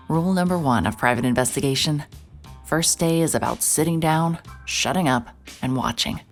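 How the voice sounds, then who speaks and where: A woman playing a hard-boiled, very low-voiced PI. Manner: dry, hard-boiled inner monologue. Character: hard-hearted private investigator, dry and guarded. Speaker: private investigator narrator. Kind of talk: noir first-person narration.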